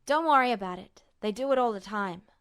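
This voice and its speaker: A woman's voice: mid-range voice, animated, a little fast, clean tone, natural voice. A woman voicing an exhausted, guarded younger male patient in an audiobook story, curt, bitter and dismissive. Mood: brisk, dismissive.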